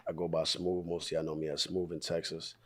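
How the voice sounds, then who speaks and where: Hoarse man, 30s, from Staten Island, very low raspy voice, loose rambling interview talk. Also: level tone, brisk. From Staten Island, New York. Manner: laid-back, rambling, frequent throat clearing. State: hoarse, voice partly lost. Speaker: musician being interviewed. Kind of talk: casual interview chat.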